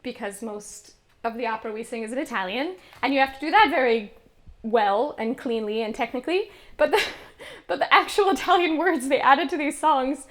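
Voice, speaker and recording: A young woman, a classical singer, chatting casually and laughing at herself while practicing on a stream. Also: sits high. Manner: chatty, self-mocking, laughs often.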